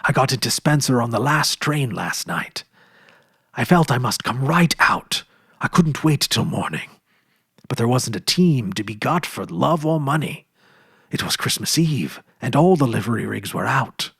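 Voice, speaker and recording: A hearty young frontier brother, home at last after years away, talks in an easy, rolling way in a storybook reading, as a man puts him on. His voice is slightly husky and lively in pitch, recorded close and dry. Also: unhurried, no room sound, full character voice. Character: adult brother, warm hearty prospector back home.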